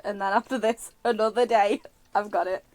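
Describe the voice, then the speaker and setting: A woman's voice: mid-range voice, steady tone, normal speed, a little mellow, no room sound, faint music behind. A woman casually reacting during a video game playthrough, wry and hesitant as she thinks aloud. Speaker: game streamer.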